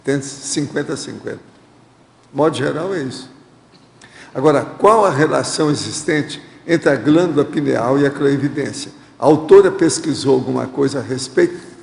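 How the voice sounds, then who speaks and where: A man's voice: mid-range voice, normal speed, creaky. A Brazilian man hosting a studio Q&A who reads out questions and gives plain, direct advice. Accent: Brazilian Portuguese. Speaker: host reading viewer questions. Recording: echoey hall reverb.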